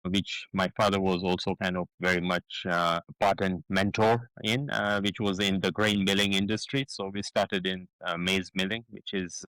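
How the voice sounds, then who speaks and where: A man in his fifties from Kenya, a guest describing his business in an interview, speaking haltingly with many fillers and restarts. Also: low-pitched, flat delivery, a bit bright, clean tone, dry recording, poor audio. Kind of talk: casual interview talk.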